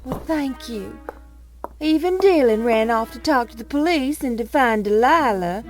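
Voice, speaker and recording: A haughty young woman with a high voice, drawing out her words in petulant self-pity. It is audio soap drama. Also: expressive, a little slow, music in the background. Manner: petulant, drawn out.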